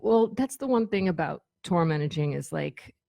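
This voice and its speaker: A woman talking casually as an interview guest, telling anecdotes in an animated, conversational way and acting out bits of dialogue. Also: sits low, moderate pace, gravelly, no room sound, studio quality. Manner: animated storytelling, quotes imagined dialogue. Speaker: radio show guest, former tour manager.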